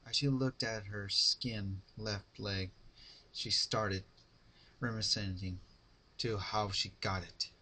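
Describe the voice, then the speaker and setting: Slow, forceful man narrating a story. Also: lowish voice, fairly even intonation. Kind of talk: dramatic fan fiction reading.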